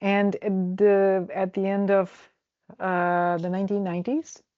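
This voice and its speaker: A woman in her forties from Croatia with a Croatian accent, answering interview questions in a halting way with many fillers and restarts. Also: mid-range voice, flat delivery, dry recording, highs cut off. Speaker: travel agent. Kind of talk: casual interview.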